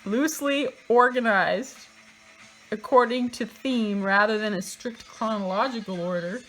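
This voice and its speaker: A woman's voice: on the high side, a little slow, a bit bright, faint music behind, low-quality recording. A woman reading a book aloud in a theatrical, mocking way, breaking into casual, sardonic asides of her own.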